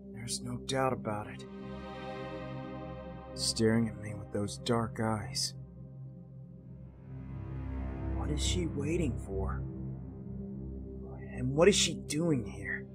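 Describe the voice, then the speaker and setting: An actor playing a brooding, dutiful male angel in a sci-fi visual novel, speaking in a measured, introspective way. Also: medium pitch, lightly acted. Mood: pensive, uneasy. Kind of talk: scripted visual novel voice acting and narration.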